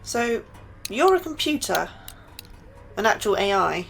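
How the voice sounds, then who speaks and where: A woman's voice: medium pitch, big pitch swings, a little slow, vocal fry, a bit bright, a little hiss or hum, full character voice. A woman playing an anxious, inquisitive new scientist in an audio drama, uneasy and questioning. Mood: wary curiosity. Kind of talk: audio fiction podcast acting.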